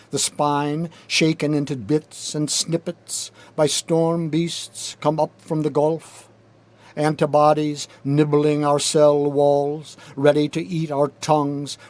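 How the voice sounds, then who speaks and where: Slow, higher-pitched man reading poetry. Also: a little mellow. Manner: deliberate, measured, rhythmic delivery with pauses. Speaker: poet reading own work. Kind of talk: dramatic spoken-word poetry reading. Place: recording studio.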